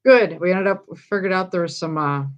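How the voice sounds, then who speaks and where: A woman's voice: on the low side, brisk, highs cut off. A woman from Iowa, a guest on a livestream talking over a video call in an easygoing way, laughing often and giving short replies. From Iowa, USA. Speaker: guest, paranormal investigator. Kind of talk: casual chat on a video call.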